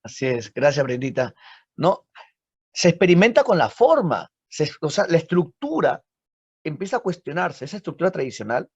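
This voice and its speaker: Animated male teacher, gravelly. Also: on the high side, moderate pace, dry recording. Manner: lively, asks rhetorical questions, frequent tag "¿no?". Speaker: literature teacher. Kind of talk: online literature class. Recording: dull lo-fi dry audio.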